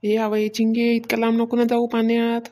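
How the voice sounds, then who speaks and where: A woman's voice: fairly high pitch, completely flat delivery, fairly quick, mellow, close to an everyday voice. A woman with a Varhadi Marathi accent voicing a nagging, exclaiming young village mother in a cartoon comedy. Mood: scolding.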